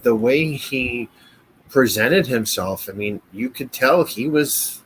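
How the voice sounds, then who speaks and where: A man co-hosting a podcast in casual conversation, speaking haltingly and repeating his phrases. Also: mid-range voice, lively intonation, a little hiss or hum, poor audio. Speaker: podcast co-host. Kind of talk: casual chat. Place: at home, family elsewhere in the house.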